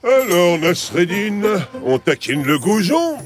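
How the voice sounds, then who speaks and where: A man's voice: high voice, very animated, takes it slowly, over loud music, heavily acted. A man voicing a blustering, boastful townsman in a French children's cartoon, full of exaggerated indignation. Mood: mocking, boastful.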